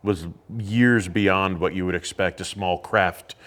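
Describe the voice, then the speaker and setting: A man who teaches about whiskey, explaining things in a relaxed, casual way with lots of fillers. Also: low-pitched, takes it slowly. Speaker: whiskey educator. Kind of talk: casual chat. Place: indoors at a teaching academy.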